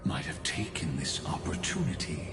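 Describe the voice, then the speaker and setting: A man voicing a cold, calculating machine intellect villain in a video game, measured, smug and lecturing. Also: extremely low pitch, huge pitch swings, heavily acted. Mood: smug menace. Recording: roomy echo under background music.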